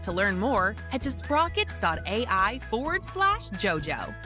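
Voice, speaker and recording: A woman's voice: mid-range voice, sing-song, a little slow. A woman reading a scripted sponsor advertisement. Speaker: ad announcer. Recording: ad read over music. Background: music.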